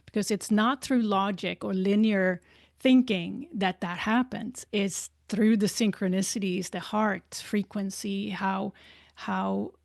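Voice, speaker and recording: The guest on a spiritual podcast, a woman speaking English as a second language, weighing each halting phrase slowly with a gravelly fry. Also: medium pitch, fairly even intonation, no echo, studio quality. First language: not English. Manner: halting, deliberate, searching for words. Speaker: interview guest, spiritual life coach and author. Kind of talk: reflective interview conversation.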